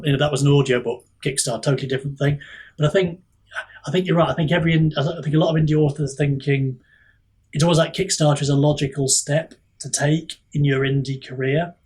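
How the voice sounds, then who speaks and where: A man talks casually as a podcast guest. His voice is mid-pitched and somewhat husky. He phrases things in a hesitant, thoughtful way. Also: fairly even intonation, brisk, darkish tone. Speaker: indie author on a podcast.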